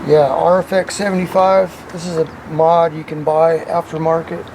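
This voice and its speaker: A man's voice: fairly high pitch, flat delivery, normal speed, noisy background. A man giving a casual hands-on vlog demonstration, rambling and thinking aloud, in and around a parked truck. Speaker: vlogger demonstrating CB radio antennas.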